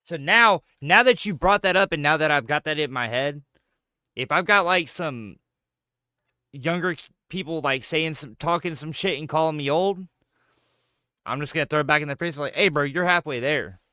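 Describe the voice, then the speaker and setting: A man's voice: a little high, moderate pace, clear, resonant tone, dry recording, clean studio sound. A man in his thirties, a gaming streamer chatting casually with jokes, swearing and playful banter. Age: 30s. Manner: joking, profane, animated banter. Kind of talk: casual chat while gaming.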